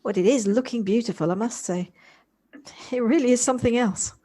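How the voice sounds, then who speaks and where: A woman's voice: on the high side, fairly quick, mellow, lightly acted. An actress playing a busy city career woman in an audio drama, polite, chatty and slightly flustered. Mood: polite, friendly. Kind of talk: amateur audio drama acting.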